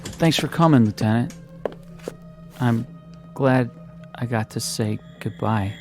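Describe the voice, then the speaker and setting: An actor playing a friendly, respectful young wounded soldier in a war radio drama. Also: male voice, medium pitch, slow, over music, lightly acted. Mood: polite, grateful. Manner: easygoing, deferential. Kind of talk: audio drama acting.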